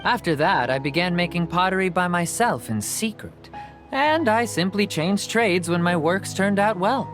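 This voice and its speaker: A woman's voice: on the low side, animated, moderate pace, over music, close to an everyday voice. A woman voicing a friendly, chatty potter who runs a stall in a video game. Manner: warm sales talk, chatty.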